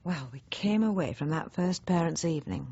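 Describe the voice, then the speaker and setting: An actress playing a snobbish, self-satisfied middle-aged housewife who chats and justifies herself in a radio drama monologue. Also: female voice, deep voice, expressive, normal speed, mellow, breathy edge, dull audio, exaggerated voice. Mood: indignant. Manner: chatty, self-justifying, genteel, digressive with pointed emphasis.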